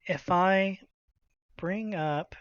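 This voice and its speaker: A man giving an informal, unscripted software walkthrough into a headset mic, casual and halting as he thinks aloud. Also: high-pitched, no echo, muffled recording. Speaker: tech tutorial presenter. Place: indoors at a computer. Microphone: computer headset mic.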